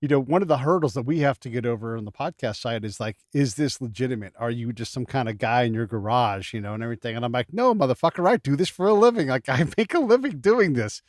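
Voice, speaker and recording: A man's voice: medium pitch, quick pace, few pauses, darkish tone, no echo, clean studio sound. A man hosting a podcast interview, enthusiastic and casual, laughing often and swearing freely. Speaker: podcast host.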